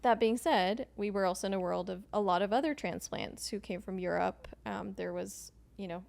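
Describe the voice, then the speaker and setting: Gravelly-voiced Swedish-born woman, hesitant. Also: mid-range voice, normal speed, darkish tone. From Sweden. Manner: thoughtful, hesitant, many ums and likes, laughs often. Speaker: podcast guest. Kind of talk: casual conversational interview.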